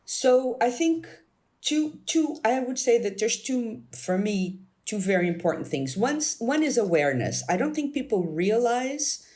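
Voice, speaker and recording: A woman's voice: on the high side, sing-song, measured pace, a little mellow. A woman from Canada answering interview questions as a board candidate, earnest and thinking aloud.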